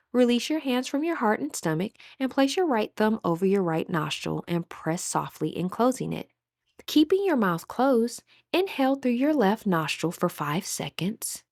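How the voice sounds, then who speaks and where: A woman leading a scripted guided meditation as an instructor, with a measured, soothing, instructional delivery. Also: medium pitch, big pitch swings, gravelly, darkish tone, no echo, clean studio sound.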